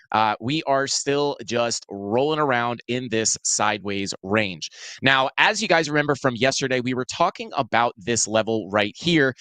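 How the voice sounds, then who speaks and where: A man's voice: medium pitch, fairly bright tone, no room sound. A man hosting a crypto show, talking casually through market charts with many fillers. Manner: casual, filler-heavy, self-deprecating. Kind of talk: casual chart analysis talk. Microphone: clip-on mic.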